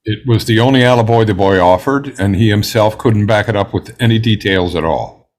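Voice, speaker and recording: A composed, self-assured juror in a stage drama, acted by a man. The voice sits fairly low, and the words come out precise and deliberate. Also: a little hiss or hum, lightly acted. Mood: confident, firm. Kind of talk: amateur table read of a stage drama.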